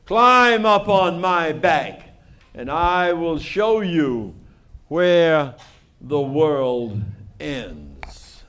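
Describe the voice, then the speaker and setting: A man's voice: fairly high pitch, very animated, extremely slow, a bit bright, heavily acted. A man voicing a confident camel in a children's storybook, speaking in self-assured declarations. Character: confident camel offering a cat a ride.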